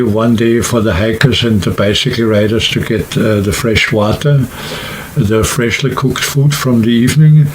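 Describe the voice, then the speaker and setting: A man talking casually and unscripted to camera, explaining things in a rambling, halting way like a vlogger. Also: on the low side, slight background noise, poor audio. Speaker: hiking vlogger and trip organizer.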